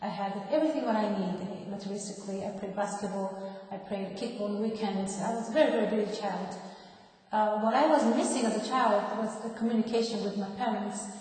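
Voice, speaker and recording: A woman in her thirties from Japan with a Japanese accent, telling her life story haltingly as she searches for words in English, her second language. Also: medium pitch, a little mellow. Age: 30s. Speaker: former professional boxer giving a testimony. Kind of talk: personal life-story talk. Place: large reverberant room. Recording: echoey reverberant hall, distant mic.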